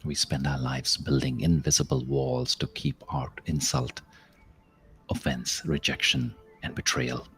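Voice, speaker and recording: Male meditation guide, extremely low voice, contemplative spoken-word delivery with long pauses, faint music underneath. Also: fairly even intonation. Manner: measured, contemplative, with long pauses and softly trailing phrases.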